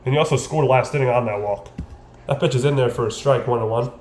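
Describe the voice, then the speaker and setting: A man's voice: mid-range voice, fast, a little mellow. A man doing informal live baseball play-by-play for a stream, with casual, fan-like asides. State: bouts of coughing. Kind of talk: informal play-by-play commentary with chat. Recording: roomy echo, faint background noise.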